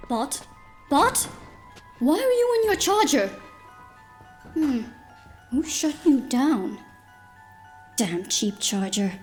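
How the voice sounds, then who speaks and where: High-pitched, muttering diner woman, acted. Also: very animated, takes it slowly, long pauses, darkish tone, faint music behind, exaggerated voice. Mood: mildly annoyed. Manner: muttering to herself. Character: uneasy female diner keeper alone at night, grumbling. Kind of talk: audio drama acting.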